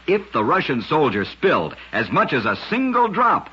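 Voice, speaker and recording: A man narrating short scripted stories in an old-time radio style, with an emphatic showman delivery and a husky voice. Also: a little high, huge pitch swings, moderate pace, sharp tone. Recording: dull, lo-fi old radio recording.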